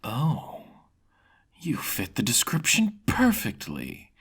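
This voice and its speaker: Casting: male performer as villainous fantasy angel crime lord, threatening and falsely courteous, husky. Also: medium pitch, huge pitch swings, a bit bright, exaggerated voice. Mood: menacing. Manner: deliberate, mock-polite threats. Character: menacing male dark-winged angel gang boss.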